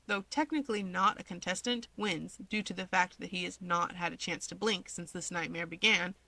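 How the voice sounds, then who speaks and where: Female audiobook-style narrator, lowish register, bright forward tone, dry close sound, scripted fiction. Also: normal speed, vocal fry, no echo, low-quality recording. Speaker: fan fiction reader. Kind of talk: scripted story narration with character voices.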